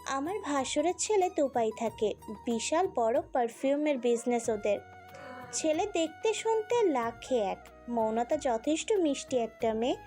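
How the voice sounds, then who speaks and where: Casting: woman to play gossipy matchmaking auntie in an audio story, high but warm tone, insinuating. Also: animated, measured pace, soft background music. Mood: persuasive. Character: gossipy, meddling aunt playing matchmaker.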